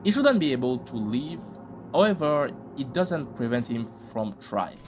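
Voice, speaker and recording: Lively male commentator, slangy. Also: medium pitch, measured pace. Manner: informal, joking, slangy. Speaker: host of reaction commentary videos. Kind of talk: casual scripted commentary with slang. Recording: loud music behind the voice. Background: loud music.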